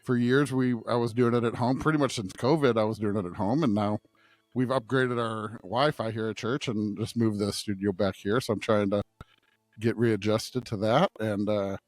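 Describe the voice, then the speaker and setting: A man's voice: lowish voice, steady tone, normal speed, no echo, faint music behind. A middle-aged American man co-hosting a podcast, chatting casually in a rambling, self-deprecating way. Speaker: pastor and podcast co-host. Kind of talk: casual unscripted chat. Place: studio at a church.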